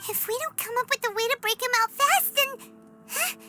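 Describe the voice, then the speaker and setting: A woman voicing a small, childlike, excitable fairy companion in an anime game, with a squeaky voice and exclamatory, talkative delivery. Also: a little fast. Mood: nervous. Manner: refers to self in third person, exclamatory. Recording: loud music behind.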